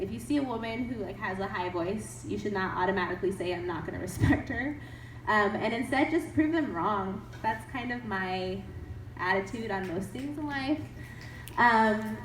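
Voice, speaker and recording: A young woman author with a cold, answering audience questions in an animated, upbeat way, laughing often. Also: medium pitch. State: has a cold. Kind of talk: casual Q&A talk. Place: event hall. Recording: echoey room, mic from a distance, faint noise.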